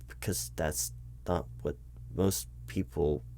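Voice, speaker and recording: A man playing an exasperated corporate hiring manager in a one-sided interview roleplay, talking in a rambling, halting way with stammers and self-corrections. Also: extremely low pitch, steady tone, gravelly, darkish tone, slight background noise, full character voice. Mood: incredulous, explaining. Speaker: roleplay performer. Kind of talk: improvised one-sided job interview roleplay, spoken aloud.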